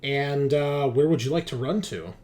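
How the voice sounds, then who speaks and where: A man's voice: mid-range voice, moderate pace, darkish tone. A man running a tabletop roleplaying game as game master, explaining rules and procedure step by step. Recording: clearly noisy background.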